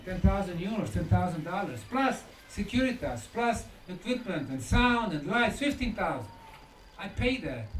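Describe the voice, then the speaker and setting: High-voiced Bosnian man lecturing in an echoey room. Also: a little hiss or hum. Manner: emphatic, short declarative phrases with rhetorical questions. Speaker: lecturer and researcher. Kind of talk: live conference lecture. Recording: echoey hall from far off, music behind.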